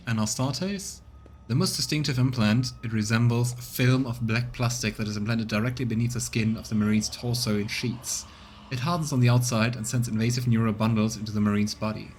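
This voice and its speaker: A man streaming a video game, reading dialogue and narration aloud in a measured way with casual asides. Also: medium pitch, a little hiss or hum. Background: game music.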